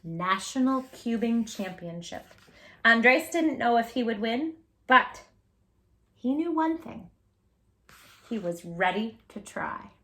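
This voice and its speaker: A woman hosting a children's read-aloud, expressive and encouraging, emphasising words and chatting directly to young listeners. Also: a little high, slow, long pauses. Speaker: storybook reader, channel host.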